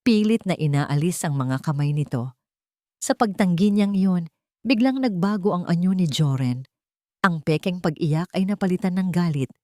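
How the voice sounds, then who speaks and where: A woman's voice: fairly low pitch, normal speed, darkish tone, no room sound, clean studio sound. A woman reading a dramatic romance story as a scripted narrator.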